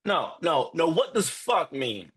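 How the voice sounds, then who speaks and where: An actor playing an exhausted, lovestruck young man in a live script read, earnest and halting, with a husky voice. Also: a little high, big pitch swings, a bit bright, exaggerated voice. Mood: incredulous. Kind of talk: live screenplay table read.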